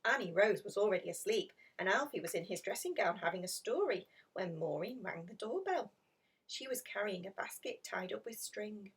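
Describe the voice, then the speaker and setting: A woman reading a children's picture story aloud in an expressive, dramatic storytelling manner. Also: medium pitch, moderate pace, breathy edge, distant mic. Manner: animated, dramatic emphasis with hushed passages. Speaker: storybook reader.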